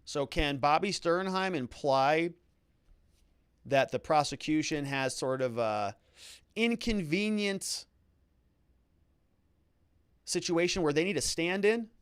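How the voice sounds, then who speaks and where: A man's voice: a little high, animated, takes it slowly, pauses often, clear, resonant tone, no room sound, studio quality. A man hosting a legal commentary show, recapping a trial and reading quotes aloud with emphatic, theatrical stresses.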